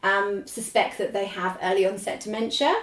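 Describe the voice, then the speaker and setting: A woman in her forties with a squeaky speaking voice, chatting as a podcast guest and rambling with lots of laughter. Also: normal speed, reverberant, distant mic, low-quality recording. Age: 40s. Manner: rambling, animated, laughs often. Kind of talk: casual chat.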